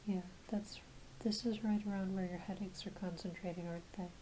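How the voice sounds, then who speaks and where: A woman playing a gentle, reassuring doctor in an ASMR roleplay, speaking softly and hesitantly, often dropping to a whisper. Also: mid-range voice, steady tone, close to an everyday voice, low-quality recording. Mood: calm, attentive. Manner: hesitant, unhurried, apologetic and reassuring. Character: gentle, reassuring female doctor examining a werewolf patient. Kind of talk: soft-spoken ASMR medical roleplay. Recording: constant soft background hiss.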